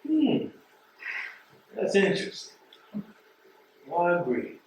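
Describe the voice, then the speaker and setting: An actor playing a blunt, disappointed postal carrier who lectures emphatically in a stage play. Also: male voice, high voice, huge pitch swings, slow, exaggerated voice. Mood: stern, lecturing. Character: blunt, disappointed male postal carrier, preachy old friend. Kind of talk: stage play acting, dramatic dialogue. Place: theatre stage. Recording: roomy stage, distant mic, faint noise.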